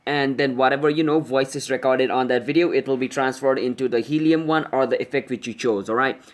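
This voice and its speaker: Fast-talking man hosting a tutorial. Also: medium pitch, steady tone. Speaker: tutorial host. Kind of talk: casual how-to screen tutorial narration.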